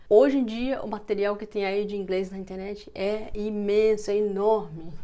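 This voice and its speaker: A woman's voice: mid-range voice, darkish tone. A woman with a Brazilian Portuguese accent, speaking as a language teacher in an informal, explanatory talk, stressing key words.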